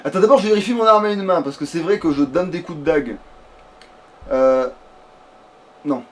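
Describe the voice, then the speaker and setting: A man's voice: fairly high pitch, moderate pace. A man chatting casually as he plays a video game, joking in loose, slangy language with swearing. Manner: swears freely, slangy, jokey. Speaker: let's play gamer. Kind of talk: casual chat while playing a video game. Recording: background noise.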